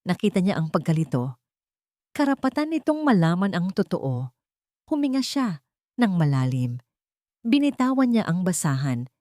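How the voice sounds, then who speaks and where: A woman with a lowish voice narrates a scripted drama. Her phrasing is measured and dramatic, with deliberate pauses. The sound is close and dry, without room echo. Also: sing-song, dark tone. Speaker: storyteller, narrator.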